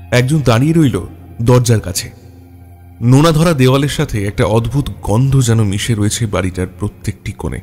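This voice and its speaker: A man narrating a scripted detective story in a measured way, with dramatic pauses. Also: medium pitch, darkish tone. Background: background music.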